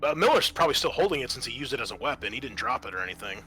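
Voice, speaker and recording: A man working as game master, improvising tabletop narration in a halting, thinking-aloud way. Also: mid-range voice, big pitch swings.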